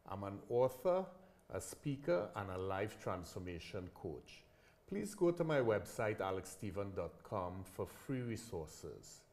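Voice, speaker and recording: A middle-aged man from Trinidad hosts a community TV interview show, his voice sitting low and easy. He laughs often and keeps the guest going with soft murmurs of agreement. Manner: laughs often, encouraging backchannels. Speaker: talk show host.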